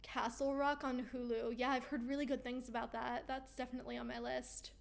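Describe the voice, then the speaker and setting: A chatty woman hosting a live stream, her fairly high voice going hoarse as she reads out viewer comments. She talks casually and upbeat, with frequent ums and easy laughs. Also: level tone, brisk. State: losing her voice. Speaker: host of a weekly live Q&A stream. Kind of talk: casual livestream chat, reading viewer comments aloud.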